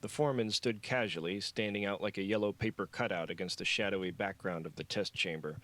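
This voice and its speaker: A man's voice: on the low side, brisk, fairly bright tone. A man reading fiction aloud as an audiobook narrator.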